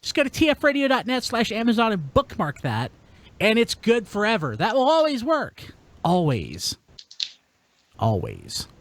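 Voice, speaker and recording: A man with a slightly high voice hosts a casual podcast. His pitch moves around in a lively way, and he talks with a wry, joking manner. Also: normal speed, dry recording. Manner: jokey, wry. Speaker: podcast host.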